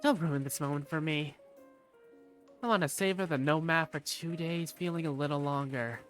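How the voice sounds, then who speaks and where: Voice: medium pitch, normal speed, lightly acted. A performer voicing an earnest, courteous young male student working as a waiter in a game fandub. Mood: mock annoyed. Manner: eager, courteous. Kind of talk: visual novel fandub acting. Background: background music.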